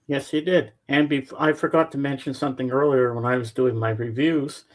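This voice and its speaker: A man's voice: medium pitch, fairly even intonation, brisk. Friendly man co-host, casual. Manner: friendly, supportive interjections. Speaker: podcast co-host. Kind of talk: casual chat.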